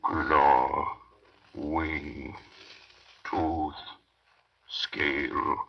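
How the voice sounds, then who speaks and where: An actor playing an ancient undead vampire count, commanding and menacing, intoning solemnly in 1930s radio horror style. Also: male voice, extremely low pitch, level tone, very slow, gravelly, full character voice. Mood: ominous incantation. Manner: incantatory, solemn, theatrical. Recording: muffled, hissy 1930s radio recording.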